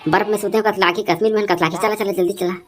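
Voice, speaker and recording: A woman voicing excitable villagers in a comedy cartoon, with a Chhattisgarhi accent and an exclamatory, repetitive way of talking. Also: medium pitch, rapid-fire, close to an everyday voice. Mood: eager. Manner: exclamatory, repeats words.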